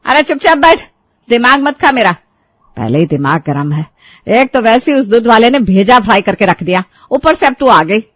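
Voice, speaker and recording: A woman's voice: on the high side, big pitch swings, normal speed, fairly bright tone, no room sound. Storybook role: irate elderly Indian matriarch played by a woman, emphatic, grumbling and domineering, in a dry recording. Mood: angry scolding. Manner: scolding, emphatic, complaining. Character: irate, bossy, sharp-tongued elderly Indian mother-in-law. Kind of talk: animated moral storybook acting.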